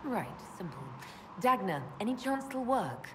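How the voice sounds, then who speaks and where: A woman playing a brisk, pragmatic adventurer leader in a fantasy video game, direct and wry. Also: on the high side, sing-song, noisy background. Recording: reverberant hall, music behind. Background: music.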